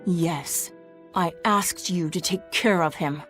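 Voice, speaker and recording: A woman playing an affectionate, gently scolding young woman in a video game. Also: lowish voice, slow, faint music behind. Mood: fond scolding.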